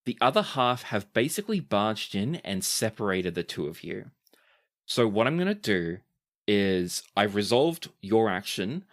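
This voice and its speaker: A man narrating a tabletop role-playing game as game master, describing scenes and calling for dice rolls. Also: a little slow. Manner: lively, shifting pitch as he narrates.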